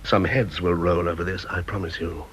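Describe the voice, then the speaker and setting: A man's voice: low-pitched, fairly even intonation, moderate pace, fairly bright tone, breathy edge. An actor playing a stern, exacting British senior scientist in a radio drama, clipped and sarcastic as he interrogates and reprimands. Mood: angry, scornful. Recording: dull, muffled old radio recording.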